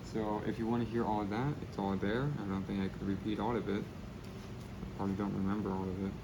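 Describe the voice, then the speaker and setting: Low-voiced young man chatting while painting. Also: little pitch movement, a little fast, background noise. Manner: rambling, low-key, self-deprecating. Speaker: spray paint artist. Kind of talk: casual commentary while painting.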